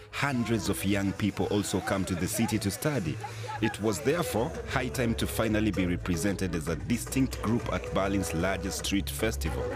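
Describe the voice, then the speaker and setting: Man, voice-over narrator, low voice, measured, music underneath. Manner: measured, informative. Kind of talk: scripted narration.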